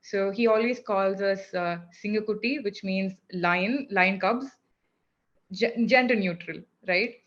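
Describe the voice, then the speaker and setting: A woman's voice: mid-range voice, measured pace. Woman chatting on slightly muffled audio. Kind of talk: casual group chat, personal anecdotes.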